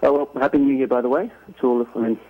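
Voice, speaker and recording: A man's voice: medium pitch, fast. A man from Greece calling in to a talk show, asking a long question with many fillers and restarts. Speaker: caller. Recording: tinny phone line.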